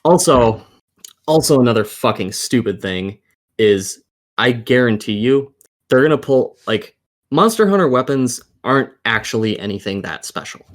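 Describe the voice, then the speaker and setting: A man's voice: mid-range voice, animated, moderate pace. A man co-hosting a gaming podcast in a casual call, giving sweary, exasperated, emphatic opinions. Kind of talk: heated opinion rant in a casual group chat. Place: remote voice call over the internet.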